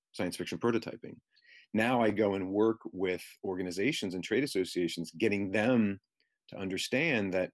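A man, a futurist guest in an interview, explaining his ideas in a conversational, persuasive way. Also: sits low.

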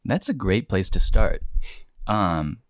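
A man's voice: fairly low pitch, very animated, slow, no echo. A man leading a casual group conversation, reflective and asking the others questions. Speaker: conversation leader.

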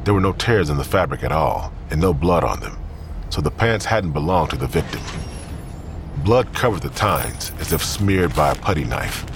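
A man narrating a crime drama in a grave, measured tone. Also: extremely low pitch, very animated, noisy background. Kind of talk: scripted narration.

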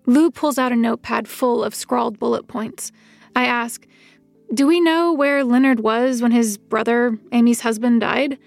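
A woman playing a world-weary, wry first-person narrator in an audio drama, with measured literary delivery. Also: fairly high pitch, vocal fry, no room sound, soft background music. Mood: weary, reflective. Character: world-weary, recovering-alcoholic woman narrator, reluctant detective's helper.

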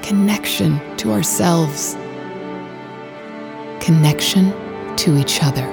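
An actress playing a poised female tech founder who delivers a soothing, deliberate, measured company pitch in a fiction podcast. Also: gravelly, over music. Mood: assured, inviting. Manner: deliberate, measured phrasing with pauses. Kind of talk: scripted promotional speech in a fiction podcast.

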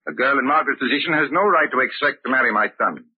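A man's voice: medium pitch, animated, quick pace, breathy edge. An actor playing a bitter, controlling older father in an old-time radio drama, speaking formally with silky, condescending menace. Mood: stern, superior. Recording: muffled, lo-fi vintage radio recording.

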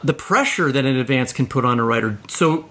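A man's voice: mid-range voice, lively intonation, a little fast, fairly bright tone. A middle-aged man co-hosting a podcast, interviewing a guest in a casual, conversational way. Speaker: podcast co-host.

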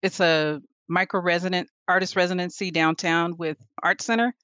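A woman's voice: on the low side, normal speed, clear, resonant tone, dry recording. Laughing woman in her forties. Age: 40s. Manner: animated storyteller, laughs often. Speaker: guest, photographer and artist. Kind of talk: casual interview conversation.

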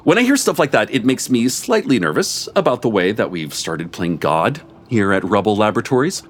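A man reading a comic story aloud before a live audience, with expressive, animated storytelling and a deadpan edge. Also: on the low side, fairly quick, a little hiss or hum. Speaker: story reader.

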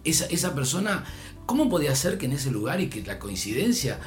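An older man from Argentina with an Argentine Spanish accent, telling a long story in a relaxed chat, his voice rising and dropping as he goes. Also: fairly high pitch, fairly quick, soft background music. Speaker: storyteller guest. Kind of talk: casual anecdote.

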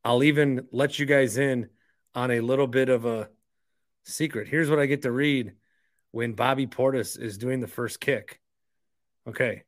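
A man's voice: medium pitch, steady tone, a little slow, long pauses, no echo, studio quality. An American man hosting a podcast, talking in a chatty, emphatic, informal way in a casual solo monologue. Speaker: podcast host and stadium announcer.

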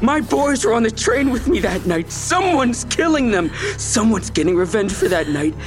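A man's voice: sits high, sing-song, moderate pace, over music. An actor playing a desperate, menacing, guilt-ridden man with a knife in an audio drama, intense, both pleading and threatening. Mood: agitated, angry.

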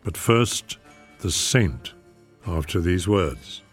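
Male mystery-show host, bass register, unhurried scripted intros, light music underneath. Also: animated, slightly rough. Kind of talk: scripted introduction of classic radio shows.

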